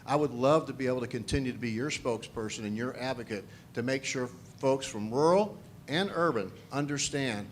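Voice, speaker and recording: A man's voice: mid-range voice, a little fast, slight background noise. A man, a candidate giving an earnest closing statement at a forum in an event hall.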